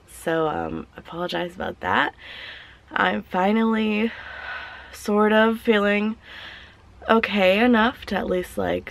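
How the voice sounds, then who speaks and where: A woman vlogging casually while sick, her voice raspy and hoarse, tired and short of breath, with small laughs between sentences. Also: medium pitch. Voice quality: raspy, weak voice. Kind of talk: casual vlog chat.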